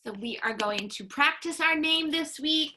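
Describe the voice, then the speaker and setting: A woman teaching young children in a read-aloud lesson, encouraging them with sing-song, drawn-out key words and simple instructions. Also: sits high, bright, forceful tone. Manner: encouraging, praising young learners.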